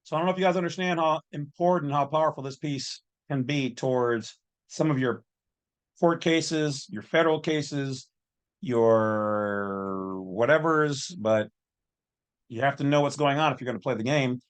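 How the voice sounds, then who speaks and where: A man's voice: medium pitch, measured pace, clean tone. A man delivering informal, off-the-cuff commentary as a channel host, with a colloquial, opinionated way of talking.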